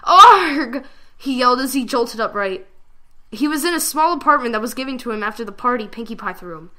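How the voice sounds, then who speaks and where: A woman giving a dramatic reading of a fan fiction story, with expressive delivery. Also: a little high, fast talker.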